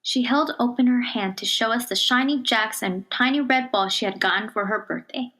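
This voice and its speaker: A woman reads a children's picture book aloud in a measured, expressive storytelling manner, dropping to a hush on some phrases. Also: fairly high pitch, rough recording. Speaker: storybook reader.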